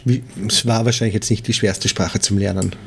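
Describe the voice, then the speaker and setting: A man's voice: on the low side, steady tone, fairly quick, vocal fry, a little hiss or hum. A man, interviewing in an informal, curious way. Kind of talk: casual interview questions.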